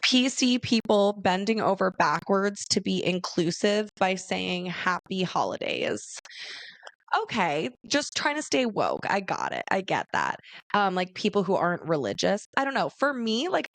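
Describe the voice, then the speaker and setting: A raspy young woman from Arizona hosting a casual solo podcast, chatty and laughing often as she talks to her listeners. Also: medium pitch, very animated, unhurried, a bit bright, no room sound. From Arizona, USA. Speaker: podcast host.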